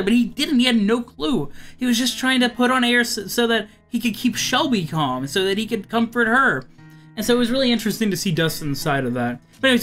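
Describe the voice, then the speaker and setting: Male gaming host, high-pitched and bright, casual and expressive, light music underneath. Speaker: let's play host reading a visual novel aloud. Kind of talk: gameplay commentary and dramatic read-aloud. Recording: music behind the voice.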